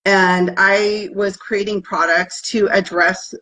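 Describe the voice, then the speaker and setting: A woman's voice: mid-range voice, flat delivery, slow pace, sharp tone, poor audio. A woman guest speaking in an interview, earnest and heartfelt, bilingual with Tagalog. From United States. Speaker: guest, herbalist business founder. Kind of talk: interview conversation.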